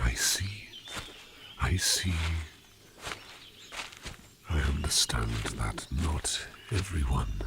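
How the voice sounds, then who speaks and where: A man playing a courteous, curious sleep demon in disguise, speaking in a breathy, gravelly half-whisper with formal, halting phrasing for an ASMR roleplay. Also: rumbling low, very noisy, full character voice. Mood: gently curious. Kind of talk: ASMR roleplay, personal attention.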